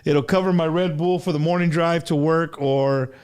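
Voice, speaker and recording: A man runs a casual livestream interview in a fairly high voice. He talks loosely and slangily, tossing in bro and dude and laughing easily as he chats. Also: fairly even intonation, normal speed, a little mellow, clear, resonant tone. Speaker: host of an online music interview show.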